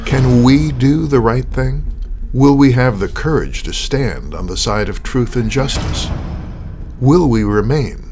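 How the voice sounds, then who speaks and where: Guy narrating a radio play, deliberate and theatrical host, lively pitch, music under him. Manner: rhetorical, deliberate. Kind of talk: scripted dramatic narration.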